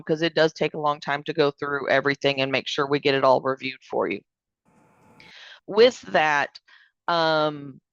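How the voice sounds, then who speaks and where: A woman, hoarse from allergies, explaining a proposal step by step over a video call. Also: low-pitched, fairly bright tone, no echo, lo-fi sound. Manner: explanatory, step-by-step, uses fillers. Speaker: code publishing vendor presenting remotely. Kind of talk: remote business presentation.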